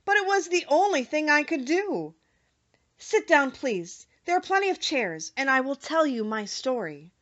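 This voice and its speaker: A small old humbug wizard from a storybook , acted out by a woman, pitched high and swinging expressively as he confesses earnestly and sorrowfully. The recording is slightly dull. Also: very animated, moderate pace, fairly bright tone. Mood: sorrowful, apologetic. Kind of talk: audiobook character voice.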